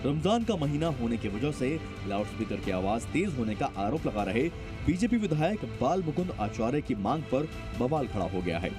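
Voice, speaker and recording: A man's voice: medium pitch, sing-song, moderate pace. A man narrating a scripted television news report in a dramatic, emphatic manner. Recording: voice-over with music bed.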